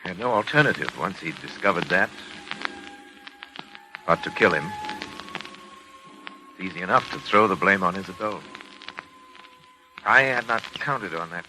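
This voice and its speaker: Casting: cold, calculating scientist-husband, deep voice, formal and deliberate, vintage radio thriller style, music behind. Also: male voice, animated, low-quality recording. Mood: grave, confessing. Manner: formal, deliberate, dramatic delivery. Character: cold scheming doctor and scientist, grave, murderous husband. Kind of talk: 1940s radio acting. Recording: old 1940s radio recording with music.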